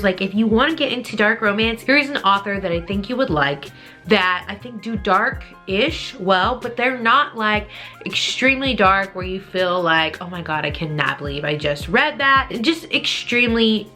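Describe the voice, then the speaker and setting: A woman's voice: mid-range voice, pushed and bright. A woman talking casually to viewers as a book vlogger, in an informal, conversational way with frequent hedging asides. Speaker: book reviewer video host. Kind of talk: casual chatty vlog talk to viewers.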